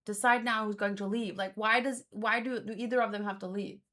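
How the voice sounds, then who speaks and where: A woman's voice: mid-range voice, steady tone, fairly quick, bright, forceful tone. A woman giving casual reaction commentary as a host, thinking aloud in a musing, hesitant way and often repeating words. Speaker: host of a manga read-along.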